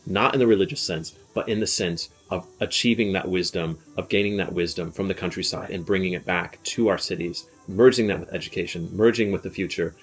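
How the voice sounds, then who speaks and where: A man's voice: sits low, brisk, hardly any pauses, husky, faint music behind, low-quality recording. A young man hosting his own channel, giving heartfelt spiritual advice in a casual, earnest way, with frequent ums and uhs. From United States. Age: young adult. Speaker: channel host.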